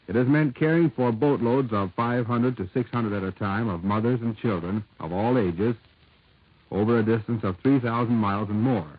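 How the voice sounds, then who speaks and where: Through a narrow, tinny 1940s radio recording comes a man with a fairly low voice. He reports the news from a script, measured and emphatic, in a dry acoustic. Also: dry recording.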